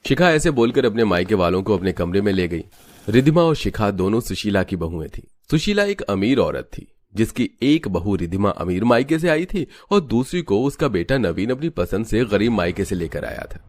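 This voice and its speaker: A man's voice: on the low side, darkish tone. A man reading scripted narration for a story.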